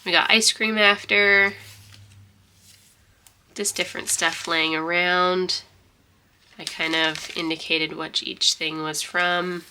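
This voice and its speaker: A woman's voice: on the low side, fairly even intonation, slow pace, pauses often, sharp tone, slight background noise. A young woman with a stuffy, congested voice, chatting casually and rambling through a journal flip-through. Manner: rambling, chatty, many fillers and restarts. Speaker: journaling vlogger.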